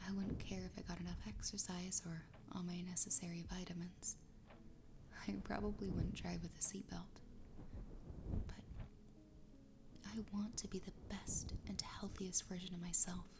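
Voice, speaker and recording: A woman voicing a doting, possessive yandere fox girl wife in a soft, breathy ASMR whisper, tender and soothing. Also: lowish voice, little pitch movement, normal speed, long pauses. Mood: loving, grateful. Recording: clear background ambience noise.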